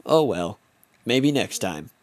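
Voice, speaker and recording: A man's voice: medium pitch, big pitch swings, slow, dry recording. A young man narrating a home-made comedy film in the first person, with playful, mock-dramatic storybook delivery.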